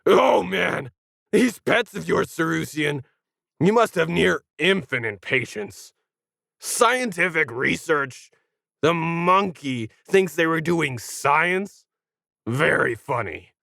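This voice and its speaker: A man's voice: fairly high pitch, animated, slow pace, gravelly, bright, forceful tone, no echo, clean studio sound, heavily acted. A man voicing a brash, sarcastic tentacled alien who talks down to humans in a science fiction story. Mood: mocking. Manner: snarky, condescending, slangy. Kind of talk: acted sci-fi storybook dialogue.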